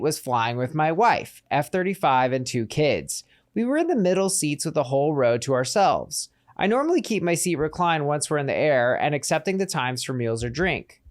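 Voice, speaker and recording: A man hosting a call-in podcast, joking with his guest and laughing easily, then reading a post aloud. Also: on the high side. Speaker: podcast host.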